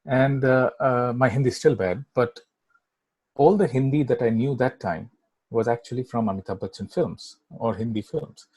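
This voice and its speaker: A middle-aged man with an Indian English accent, a native Bangla speaker, tells his story as an interview guest. He rambles, leans on fillers and keeps asking "right?". His voice is slightly husky and sounds dry and close, with no room echo. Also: mid-range voice, normal speed, a little mellow. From Kolkata, India. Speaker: guest filmmaker. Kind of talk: casual interview chat.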